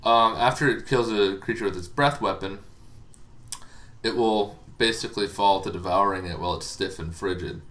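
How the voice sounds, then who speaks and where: Man, low-pitched voice, casual game explainer, hesitant, thinking aloud. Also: fairly even intonation, normal speed, fairly bright tone. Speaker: host explaining tabletop game monsters.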